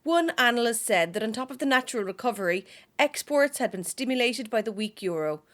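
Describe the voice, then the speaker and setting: A woman acting a radio news presenter in a radio play, formal, measured and politely inquisitive. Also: on the high side, animated, fairly bright tone. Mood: formal, crisp. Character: polished, probing female radio news presenter.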